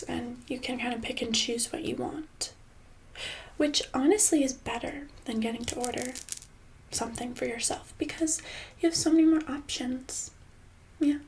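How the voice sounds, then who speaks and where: A woman playing a smug, sinister boss in a soft-spoken ASMR roleplay, casual and condescending, with a breathy voice that often slips into a whisper. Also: fairly high pitch, a little hiss or hum, poor audio. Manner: casual, condescending, falsely sweet, hesitant pauses. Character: smug, sinister female boss sweetly holding an employee hostage.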